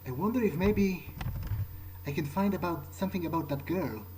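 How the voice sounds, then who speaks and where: A man thinking aloud in character during a game playthrough, musing and halting as if talking to himself. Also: high-pitched, lively intonation, darkish tone, a little hiss or hum, poor audio. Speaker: gameplay video player.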